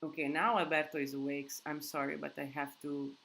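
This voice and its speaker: A woman's voice: low-pitched, level tone, brisk, creaky, fairly bright tone, a little hiss or hum. A woman hosting a live Q&A in English that is not her first language, rambling casually with many restarts and fillers. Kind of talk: casual live-stream chat with viewers. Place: countryside home.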